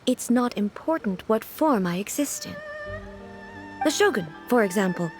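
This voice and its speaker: A woman playing a dignified but naive ruler goddess in a video game, speaking in a measured, formal, pensive way. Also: a little high, sing-song. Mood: calm, reflective. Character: dignified, naive female ruler deity, bashful and earnestly puzzled. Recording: game music behind the voice. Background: game music.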